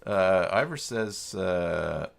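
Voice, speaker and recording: Male live-stream tutorial host, deep register, informal, halting think-aloud delivery. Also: expressive, gravelly. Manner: halting, muttering to himself while working. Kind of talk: casual live tech walkthrough, thinking aloud.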